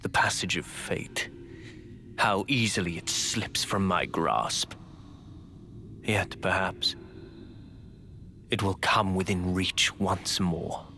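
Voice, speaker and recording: A man voicing a grave, brooding villainous lord in a video game, measured and solemn. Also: deep voice, sharp tone, slight background noise, full character voice. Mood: brooding, resolute. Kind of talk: dramatic video game voice acting. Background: music.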